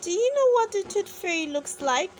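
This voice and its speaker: A woman's voice: very high voice, lively intonation, fairly quick, slight background noise, pitched above the performer's own voice. A woman voicing a small, very curious storybook girl who is eager and full of wondering questions. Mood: eager, inquisitive. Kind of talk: children's storybook character.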